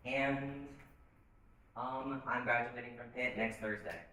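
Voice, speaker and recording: A man's voice: mid-range voice, slow, very noisy. A man in his twenties, a cast member, introducing himself in an easygoing way. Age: 20s. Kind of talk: casual self-introduction. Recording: distant mic, very echoey hall.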